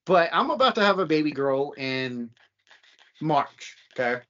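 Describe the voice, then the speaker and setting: A man in his late thirties hosting a podcast, telling stories animatedly with high exclamations. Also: sing-song, unhurried, pushed and bright. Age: late 30s. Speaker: podcast host. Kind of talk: casual chat. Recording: dull lo-fi sound.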